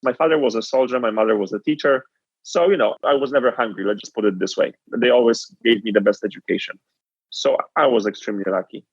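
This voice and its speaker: A man's voice: medium pitch, fast talker, slightly rough, no echo, dull audio. A man from Poland with a Polish accent, telling his story as an interview guest in an earnest, reflective way. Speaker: guest entrepreneur.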